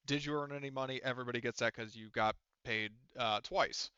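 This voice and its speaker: A man's voice: mid-range voice, fairly even intonation, a little fast, pushed and bright, clean tone, no echo, lo-fi sound. A man working as a game master, casually explaining tabletop game rules in a conversational way peppered with swearing. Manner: profane, conversational.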